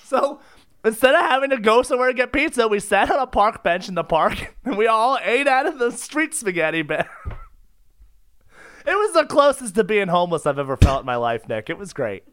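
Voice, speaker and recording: A man with a high, bright voice and a very animated delivery. He talks casually and comically as a podcast co-host, excitable and prone to giggly protest. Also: brisk, clear, resonant tone. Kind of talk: casual comedic storytelling.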